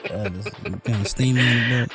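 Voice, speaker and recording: A man playing an enthusiastic, teasing local radio host in an audio drama, with an informal, slangy, halting delivery. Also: medium pitch, fairly even intonation, fairly bright tone, faint music behind, lightly acted.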